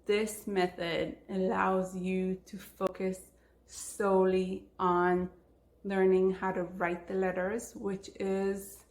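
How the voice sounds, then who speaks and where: A woman's voice: medium pitch, level tone, takes it slowly, a little mellow, reverberant. A woman from Israel, a native Hebrew speaker with an Israeli accent, giving an instructional talk as a language teacher and stressing key words.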